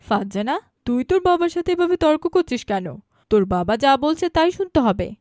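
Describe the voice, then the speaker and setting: A woman's voice: high-pitched, sing-song, a little fast, a little mellow, no room sound, clean studio sound. A woman voicing a stern, reproachful mother of a teenage girl in a cartoon. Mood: scolding. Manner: reproachful, commanding. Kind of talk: cartoon dialogue acting.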